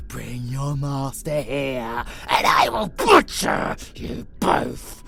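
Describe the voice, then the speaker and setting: Ravenous creaky-voiced vampire monster, snarling and slow. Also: medium pitch, huge pitch swings, soft background music, exaggerated voice. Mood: enraged, taunting. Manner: snarling, taunting, profane, swinging between whispers and shouts. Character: ravenous, savage vampire abomination hungry for prey. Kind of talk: horror audio roleplay acting.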